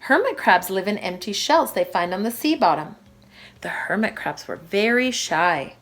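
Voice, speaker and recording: Lively woman reading a children's storybook. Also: mid-range voice, normal speed. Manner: expressive, emphatic phrasing for young children. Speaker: primary school teacher reading aloud. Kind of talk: children's storybook read-aloud.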